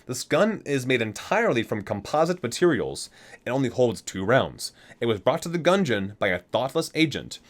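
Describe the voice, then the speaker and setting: Male ASMR gaming host, very animated intonation, mid-range voice, alternates read-out lore with muttered musings. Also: medium pitch. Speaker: gaming content creator and host. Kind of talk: ASMR reading of game item descriptions with casual asides.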